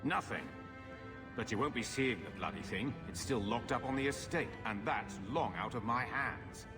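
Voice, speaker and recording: An actor playing a gruff, evasive middle-aged uncle in a fantasy game, bitter and dismissive in manner. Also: male voice, mid-range voice, lively intonation, normal speed, close to an everyday voice. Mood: defensive, irritable. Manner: surly, dismissive. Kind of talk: fantasy video game acting. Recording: long reverb, loud music behind.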